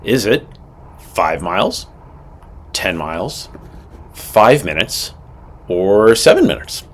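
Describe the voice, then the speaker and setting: Low-pitched man giving direct scripted instruction. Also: big pitch swings, slow. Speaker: instructor presenting a pilot training video.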